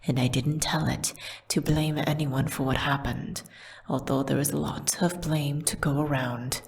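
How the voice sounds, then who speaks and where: A woman playing a condemned witch who solemnly recounts her tragic story in a hushed, breathy, measured ASMR audio drama style. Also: sits low, steady tone. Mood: resigned, solemn.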